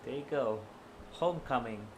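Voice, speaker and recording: A man's voice: medium pitch, moderate pace, heavy background noise. A man casually commentating on his own game livestream. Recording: game music behind the voice.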